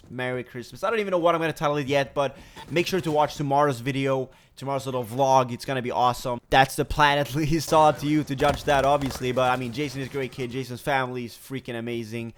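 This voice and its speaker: A young man from Sweden chats straight to his audience in a casual gaming vlog. His mid-pitched voice is a little rough from a cold and a sore throat. He talks energetically and rambles, with plenty of fillers. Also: fairly bright tone. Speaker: gaming YouTuber.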